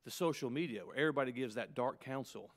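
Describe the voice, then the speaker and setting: Emphatic preacher in his fifties. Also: medium pitch. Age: 50s. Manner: emphatic preaching with rising, punchy peaks and quick asides. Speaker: pastor. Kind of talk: sermon to pastors.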